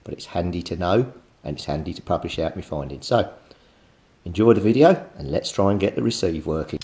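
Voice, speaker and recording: A man's voice: low voice, lively intonation, fairly quick, warm tone, slightly rough, no room sound. Methodical man at a workbench. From Britain. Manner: plain, methodical, thinks aloud with fillers. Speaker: radio repair hobbyist. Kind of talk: technical repair walkthrough.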